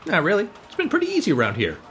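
An actor playing a brusque, slangy working-class catering worker in a parody of old-time radio drama. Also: male voice, high-pitched, wildly swooping pitch, racing, fairly bright tone, a little hiss or hum, exaggerated voice. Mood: offhand. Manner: blunt, slangy, folksy. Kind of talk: parody 1940s radio acting.

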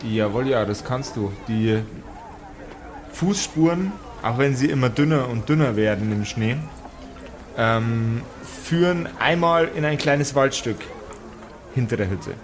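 A man's voice: mid-range voice, animated, measured pace, a little hiss or hum. A man acting as game master, narrating an improvised tabletop role-playing story.

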